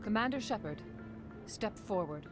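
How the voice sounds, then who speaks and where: An actress playing a calm, diplomatic alien councilor in a video game, formal and measured. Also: female voice, mid-range voice, close to an everyday voice. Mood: solemn, ceremonious. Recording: loud music behind the voice.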